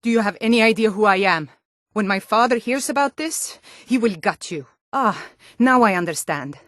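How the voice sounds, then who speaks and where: Woman acting a blunt Nordic townswoman, middle-aged, proud, medium pitch, theatrical game dialogue, close dry recording. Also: lively intonation, normal speed, fairly bright tone, no room sound, lightly acted. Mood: haughty. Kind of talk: video game character voice acting.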